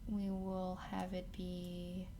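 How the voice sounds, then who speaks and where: Slow-paced woman giving a software tutorial. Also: on the low side, monotone. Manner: thinks aloud while working. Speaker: tutorial host. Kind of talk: casual screen-recorded software tutorial. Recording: clear background noise, keyboard and mouse clicks.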